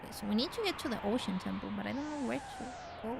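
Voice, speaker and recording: Chatty gamer girl from chile, kinda creaky voice, swears here and there. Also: on the high side, lively intonation, rapid-fire, very noisy. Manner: chatty, exclamatory, swears casually. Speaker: streamer. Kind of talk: casual gaming livestream chat.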